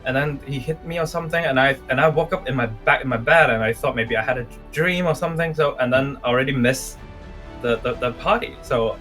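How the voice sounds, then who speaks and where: A man's voice: mid-range voice, fairly quick, a bit bright, natural voice. A man playing a nervous, confused young guild mage in improvised game roleplay, hesitant and stammering over his words. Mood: flustered. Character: nervous, confused, unsure young time-magic guild member. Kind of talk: improvised Minecraft roleplay acting. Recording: background music under voices.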